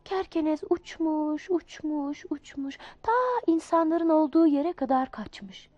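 A woman reading a children's fable aloud in an expressive, sing-song storybook manner. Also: high-pitched, slow pace, no echo, lo-fi sound.

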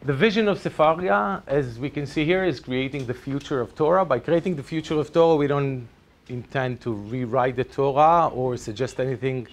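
A man's voice: mid-range voice, moderate pace. A middle-aged man with an Israeli accent, a native Hebrew speaker, giving a chatty, hesitant presentation at a teachers' workshop in a lecture room. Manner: chatty, hesitant, many ums and restarts. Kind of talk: conference presentation. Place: lecture room with projector screen.

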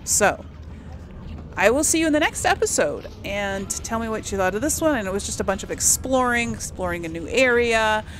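A woman's voice: fairly high pitch, lively intonation, moderate pace, slight background noise. A woman hosting a casual let's play, chatting and thinking aloud as she plays. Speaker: gaming channel host. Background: game music.

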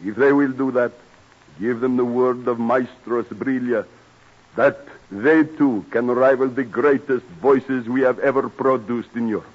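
Man acting a husky old European maestro. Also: mid-range voice, fairly even intonation, natural voice. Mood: admiring, solemn. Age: older. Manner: courtly, formal, measured phrasing. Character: famous old European singing maestro, courtly and admiring. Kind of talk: old-time radio drama acting. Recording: muffled vintage radio broadcast.

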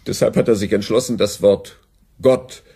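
An actor playing a brisk, pompous broadcasting director in a radio play, formal and patronizing. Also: male voice, fairly low pitch, steady tone, mellow, slightly rough.

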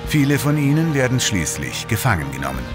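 A man narrating a retro sci-fi audio drama in a dramatic, deliberate announcing style. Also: mid-range voice, very animated. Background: music.

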